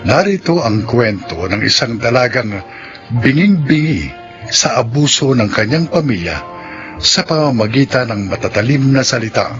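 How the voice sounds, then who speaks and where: A man's voice: mid-range voice, lively intonation, normal speed. A man delivering scripted dramatic narration as the narrator of a radio drama. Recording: dull radio sound with music. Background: loud background music.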